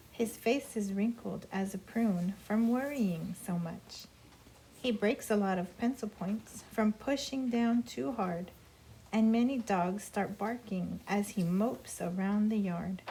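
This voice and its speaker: A woman reading a children's story aloud in a measured storybook manner. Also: mid-range voice, a little mellow, a little hiss or hum, low-quality recording. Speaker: school staff member reading aloud.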